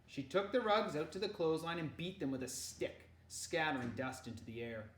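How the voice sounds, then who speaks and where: A woman reading a children's novel aloud in an animated storyteller's way, dramatizing the dialogue. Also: low-pitched, fairly quick, a little hiss or hum. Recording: roomy echo.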